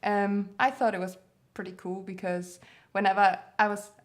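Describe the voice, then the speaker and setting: A young woman from Germany, a model being interviewed, who talks in a candid, relaxed way and laughs easily. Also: medium pitch, moderate pace. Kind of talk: casual interview chat.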